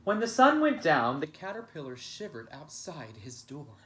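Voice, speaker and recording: A man's voice: a little high, very animated, normal speed, bright, forceful tone, a little hiss or hum. A man reading a children's picture book aloud as a teacher, with dramatic, emphatic narration. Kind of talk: expressive storybook narration.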